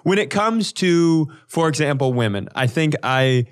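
A man's voice: on the high side, expressive, measured pace, clear, resonant tone. A man co-hosting a podcast in casual comedic banter, swearing freely and sounding mock-indignant. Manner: crude, profane, mock-indignant. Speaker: podcast co-host.

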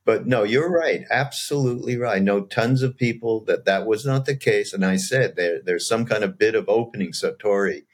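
Low-voiced man, relaxed and agreeable. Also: moderate pace, few pauses. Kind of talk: casual conversation.

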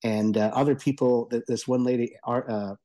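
A man giving a conversational review as a radio columnist, explaining things eagerly in a halting way. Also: medium pitch, fairly even intonation, mellow. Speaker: audio entertainment columnist and reviewer.

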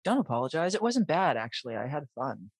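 A woman in her forties chatting casually with a friend and co-worker, telling stories in an animated way. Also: low-pitched, a little fast, slightly rough, no room sound. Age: 40s. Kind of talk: casual chat.

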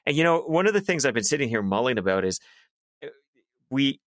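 A man co-hosting a political panel chat, speaking in a measured way and thinking his argument through aloud. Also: medium pitch, very animated, fairly bright tone, dry recording, studio quality. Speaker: co-host.

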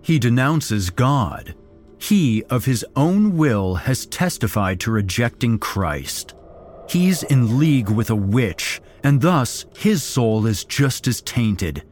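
A man's voice: mid-range voice, very animated, slow, darkish tone, faint music behind, pitched above the performer's own voice. A man voicing a smug, devious young male cultist who speaks with cold self-righteousness.

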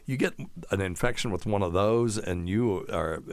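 A man's voice: on the low side, moderate pace, clean tone, dry recording. A man hosting a casual live show, answering listener questions in a folksy, rambling way and explaining medical terms plainly.

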